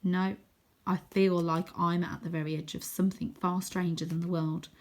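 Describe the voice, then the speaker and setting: A woman narrating a children's adventure book in an expressive, dramatic way, sometimes hushed for suspense. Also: fairly low pitch, normal speed. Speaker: story reader.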